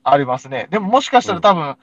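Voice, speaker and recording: A man with a Kansai accent tells casual stories as a radio co-host. His voice sits a little high and swings lively in pitch, while his wording stays polite and chatty. The sound is very dry. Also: quick pace, dry recording, highs cut off. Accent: Kansai Japanese. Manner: polite but chatty, animated storyteller. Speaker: radio talk show co-host. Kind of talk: casual anecdote-telling chat.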